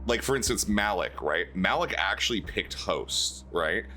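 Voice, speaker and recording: A low-voiced man hosts a casual streamed dating show. He talks in loose, rambling bursts full of fillers. A faint noise sits under the recording. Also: expressive, unhurried, pushed and bright.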